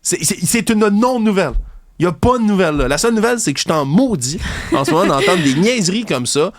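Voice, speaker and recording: An angry, sarcastic man with a Québécois accent rants on a commentary show and mocks his opponents. His voice sits a bit high. The sound is dry and close, with no room echo. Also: lively intonation, fast. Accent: Québécois French. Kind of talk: heated opinion commentary.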